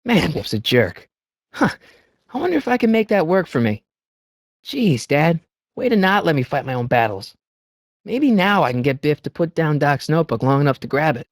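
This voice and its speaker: A man's voice: a little high, big pitch swings, normal speed, no echo, clean studio sound. A male actor playing an earnest, wisecracking teenage boy in a video game, with a youthful voice and a hesitant, slangy delivery. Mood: musing, exasperated. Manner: hesitant, stammering asides, wry slangy delivery. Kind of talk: video game character lines.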